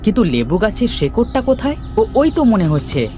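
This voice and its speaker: A woman voicing a brave, earnest young male hero in a cartoon fairy tale, with expressive delivery. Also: mid-range voice, brisk, music in the background, close to an everyday voice. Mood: curious.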